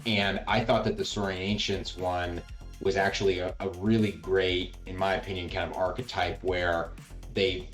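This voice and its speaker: A man giving his opinions as a reviewer in casual chat, halting as he thinks aloud. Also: low voice. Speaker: co-host or guest reviewer.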